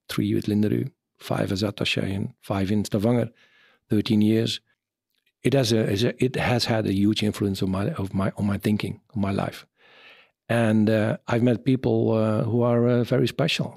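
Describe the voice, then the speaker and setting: A Dutch man in his fifties, an army officer answering interview questions in English with a Dutch accent, pausing often to search for words. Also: on the low side, vocal fry, a little mellow, slightly rough, no echo, clean studio sound. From Netherlands. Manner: halting, searching for words, many fillers.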